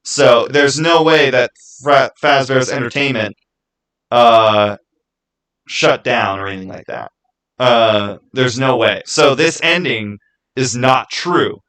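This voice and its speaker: A man's voice: mid-range voice, takes it slowly, fairly bright tone, poor audio. Insistent male live streamer. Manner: emphatic, insistent, repeats phrases. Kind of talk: casual live chat with viewers, arguing game theories.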